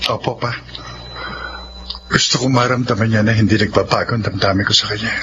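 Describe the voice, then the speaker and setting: Man acting a young Filipino fiancé, anguished, hot-blooded, radio drama, dull audio with clear noise. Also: lowish voice, steady tone, fairly quick, natural voice. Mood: subdued. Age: young adult.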